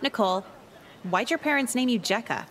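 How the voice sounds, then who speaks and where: A woman plays a jaded teenage schoolgirl from a visual novel game. Her voice is on the high side, with a dry, sarcastic and manipulative delivery. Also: brisk, crowd noise, close to an everyday voice. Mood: dry, candid. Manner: deadpan, sarcastic.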